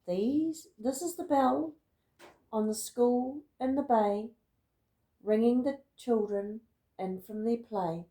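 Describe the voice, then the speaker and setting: A woman reading a rhyming picture book aloud in an expressive, deliberate storytelling manner. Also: on the high side, echoey room, low-quality recording. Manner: dramatic, deliberate storytelling with emphatic words. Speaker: storybook reader.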